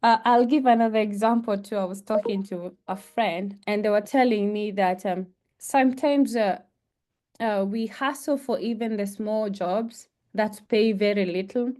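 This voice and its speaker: A woman's voice: mid-range voice, warm tone. Earnest Kenyan woman. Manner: earnest, halting with fillers. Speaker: panel speaker, international student. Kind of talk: presentation and discussion.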